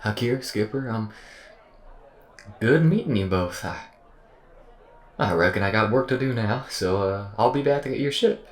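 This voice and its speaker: A man's voice: fairly low pitch, animated, a little slow, long pauses, roomy sound, voice pushed up. A man voicing a nervous, eager-to-please AI with a stammering, folksy way of talking in an audio roleplay. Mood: awkward, friendly. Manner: stammering, folksy drawl phrasing.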